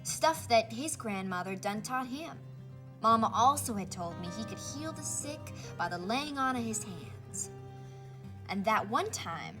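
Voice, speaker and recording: A woman voicing a spirited Southern American grandmother telling a storybook tale of her girlhood, in folksy, animated rural dialect. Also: on the high side. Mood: spirited, awed. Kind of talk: children's storybook character reading. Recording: music playing behind the voice.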